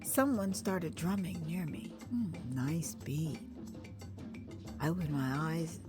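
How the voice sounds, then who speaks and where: An American woman narrating her own memoir as a dramatized first-person story, conversational and expressive, acting out moments as she goes. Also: sits low, slow pace. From United States. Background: music.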